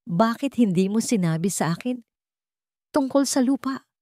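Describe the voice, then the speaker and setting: A woman with a Filipino accent voicing a hurt, softening young mother in a romance story, quiet, tender and guarded. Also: on the high side, lively intonation, moderate pace, dry recording, studio quality, voice pushed up, natural voice. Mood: hurt, hushed. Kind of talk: romance radio-drama story dialogue.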